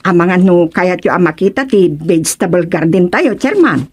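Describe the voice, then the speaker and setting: A woman's voice: lowish voice, animated, normal speed, slight background noise, lightly acted. A woman with an Ilocano accent playing an eager village councilor in a radio comedy-drama, speaking in a keen, lilting, deferential way. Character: eager female village councilor, proud of her gardens.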